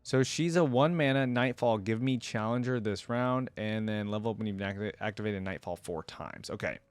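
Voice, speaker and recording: A man's voice: lowish voice, moderate pace, smooth, no echo, soft background music. A man hosting a casual reaction video, informal and thinking aloud as he comments.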